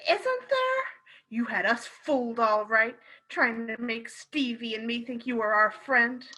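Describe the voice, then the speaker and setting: An actress in a radio play playing a loyal, spirited and protective London wife, in a theatrical style. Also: female voice, a little high, expressive, a little slow, pushed and bright, full character voice. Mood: indignant, defensive.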